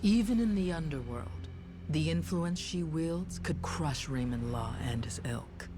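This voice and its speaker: An actress playing a cool, menacing, self-assured envoy of a crime queen in a video game, speaking with formal poise. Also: sits low. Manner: formal, poised, veiled threats.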